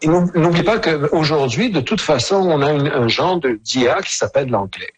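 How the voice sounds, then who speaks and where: A man's voice: a little high, lively intonation, moderate pace, breathy edge. A man, answering radio interview questions thoughtfully, with many hesitations and restarts. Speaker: author and interview guest.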